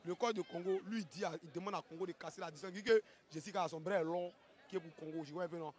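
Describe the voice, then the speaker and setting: A high-pitched man with an Ivorian accent commentates a fight live. He talks very fast in loose, colloquial bursts, repeating himself and checking that his listener follows. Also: some chatter behind. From Ivory Coast. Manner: excitable, repeats phrases, frequent tag questions.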